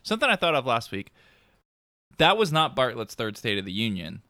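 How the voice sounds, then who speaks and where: Man, podcast host, casual chat, laughs a lot, bright voice, dry close sound. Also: mid-range voice, big pitch swings, a little fast, no echo. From New York state. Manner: chatty, laughs often. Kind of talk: casual chat recapping a TV episode.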